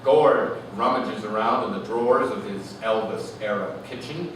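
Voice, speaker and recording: A man giving an animated, lyrical literary reading to an audience, phrasing deliberately. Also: on the low side. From Canada. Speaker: author reading own work. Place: live reading event in a room. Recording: echoey noisy room, distant mic.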